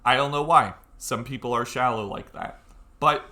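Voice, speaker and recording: A man's voice: mid-range voice, normal speed, bright, forceful tone. A young man giving an informal video tutorial, talking casually and bluntly, urging the listener on with emphasis. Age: young adult. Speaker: tutorial presenter.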